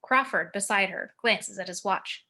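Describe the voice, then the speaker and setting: A woman's voice: medium pitch, measured pace, pushed and bright. A woman reading out scene headings and action description as the narrator of a screenplay table read. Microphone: home video call.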